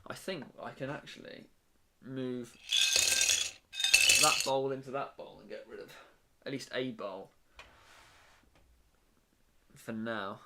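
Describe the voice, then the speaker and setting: Young guy rambling on a live stream in his bedroom, mid pitch, faint hiss. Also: fairly even intonation, slow, vocal fry, pauses often. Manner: rambling, chatty, many fillers and restarts. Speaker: live streamer building a brick set. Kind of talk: casual live-stream chat.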